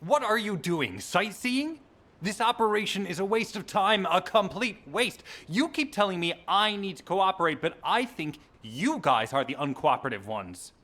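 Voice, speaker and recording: Casting: cocky young game hero, prickly show-off, forceful tone, swooping intonation. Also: male voice, high-pitched, very animated, moderate pace, heavily acted. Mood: irritated, defiant. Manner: brash, showy. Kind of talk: video game voice acting.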